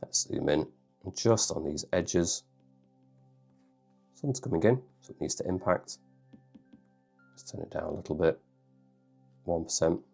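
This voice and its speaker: A man with a bunged-up cold voice, calmly talking through a drawing tutorial step by step. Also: deep voice, measured pace, vocal fry, long pauses, husky, soft background music. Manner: calm, reassuring, thinks aloud. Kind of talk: relaxed step-by-step tutorial narration.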